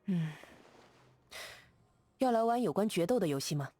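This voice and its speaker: An actress voicing a calm, composed young woman duelist in a game, speaking in a steady, measured, quietly confident way. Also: medium pitch, lightly acted. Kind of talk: game character voice lines.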